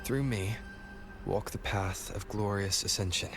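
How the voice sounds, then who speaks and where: A man's voice: on the low side, unhurried, music in the background. A man playing a solemn, foreboding figure in a video game, reciting like a formal proclamation.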